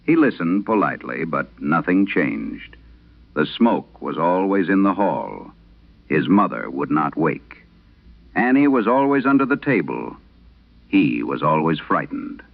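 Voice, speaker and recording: Old radio horror narrator guy, really low n gravelly, grave storytelling, narrow muffled sound. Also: very animated, a little slow. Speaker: narrator of a horror audio drama. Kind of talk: scripted first-person storytelling, vintage radio horror. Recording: muffled, band-limited vintage radio sound.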